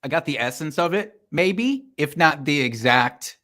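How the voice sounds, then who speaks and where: A man's voice: on the high side, expressive, unhurried, bright, forceful tone. A man in his thirties with a nasal voice, a guest in a casual chat, joking in a dry, understated way. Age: 30s.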